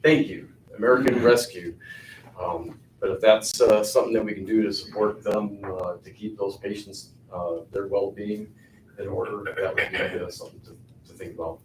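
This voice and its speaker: An older man giving a halting public comment at a county board meeting, with many fillers and restarts. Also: lowish voice, steady tone. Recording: echoey hall, far from the mic, faint noise.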